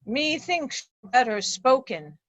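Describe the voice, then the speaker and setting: A woman's voice: high voice, big pitch swings, slow, fairly bright tone, dry recording, heavily acted. A woman playing a blind, despairing old nobleman in an amateur Shakespeare reading, weary and solemn. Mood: doubtful, weary. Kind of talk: amateur Shakespeare reader's theatre.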